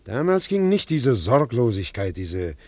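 Ein Schauspieler spielt in einem Hörspiel einen früheren Sekretär, der sich nachdenklich und bedächtig erinnert. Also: male voice, medium pitch, very animated, no room sound. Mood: reflective. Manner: reflective, measured recollection. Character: thoughtful male former secretary. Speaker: former secretary. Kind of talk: radio play acting.

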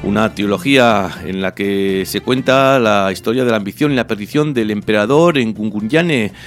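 A man presenting radio news and introducing a book reading in a measured, deliberate way. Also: on the low side, over music. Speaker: radio host.

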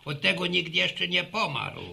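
Creaky old village grandfather. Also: sits high, animated, measured pace, pushed and bright, full character voice. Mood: chatty, amused. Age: older. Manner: muttering interjections, repeats himself, rambling. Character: garrulous old village grandfather, stubborn, fixed on his mare. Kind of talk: Polish radio serial acting.

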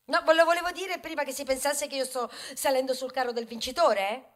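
A woman's voice: high voice, fairly even intonation, brisk, lightly acted. An actress playing a proud, aggrieved male grain of wheat in a satirical stage monologue, theatrical and plaintive, swelling into declamation and confiding in asides. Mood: sheepish, defensive. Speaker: stage actor performing a monologue. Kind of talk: theatrical satirical monologue, rhetorical, with bursts of song.

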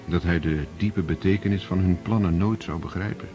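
A man narrating a radio play in a measured, grave storytelling manner. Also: deep voice, steady tone, music in the background.

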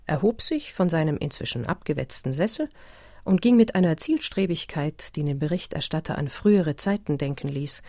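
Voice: mid-range voice, mellow, dry recording, clean studio sound. A performer in a radio play as a formal, matter-of-fact chronicler reading literary narration. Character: formal chronicler reporting an eccentric gentleman's last deed.

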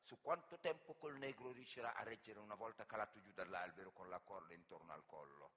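A man from Senegal, an actor and griot, delivering a dramatic, deliberate stage monologue with weighty pauses. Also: lowish voice, fairly bright tone, husky. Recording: echoey hall with long reverb.

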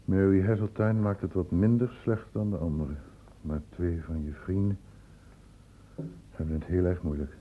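A man's voice: deep voice, fairly even intonation, normal speed, dark tone. A man playing a concerned, insistent doctor, stern but caring, in a Dutch radio drama. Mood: grave, subdued. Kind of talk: Dutch radio play acting. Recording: muffled, phone-like old radio recording.

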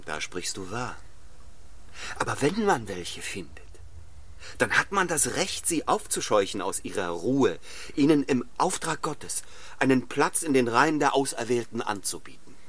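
A man's voice: medium pitch, big pitch swings, a little slow, a bit bright, husky, exaggerated voice. An actor playing a stern, cold, domineering clergyman in a radio drama, speaking in a solemn, controlled, commanding way. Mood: solemn, insistent. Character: St. John Rivers, stern, cold, domineering young clergyman missionary. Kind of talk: literary radio drama dialogue.

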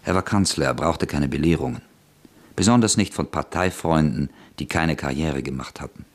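A man narrating a radio drama in a husky voice, in a steady scripted style. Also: a bass voice, moderate pace.

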